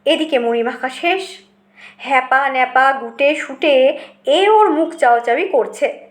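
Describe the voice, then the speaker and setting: A woman narrating a scripted comic story in an expressive, dramatic way. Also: high-pitched, unhurried, roomy sound.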